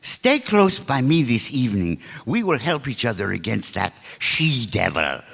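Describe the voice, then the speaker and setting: A man's voice: mid-range voice, very animated, a little slow, creaky, fairly bright tone, husky, heavily acted. An actor playing a frightened, scheming old henchman in a stage radio play, pleading and repeating himself in formal phrases. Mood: anxious, conspiring. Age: older. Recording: echoey stage hall recording.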